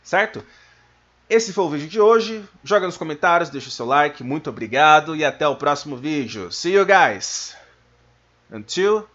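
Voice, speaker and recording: A man with a Brazilian accent giving an informal language lesson as a teacher, explaining things and slowing down to enunciate his examples. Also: on the high side, animated, a bit bright. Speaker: English teacher. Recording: dull, lo-fi microphone sound.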